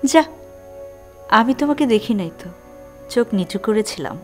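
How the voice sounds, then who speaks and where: A woman's voice: fairly high pitch, animated, normal speed, a little mellow, soft background music, close to an everyday voice. A woman playing a naive, shy young orphaned bride with a plaintive manner in an audio drama. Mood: shy, teasing. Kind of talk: Bengali audio drama acting.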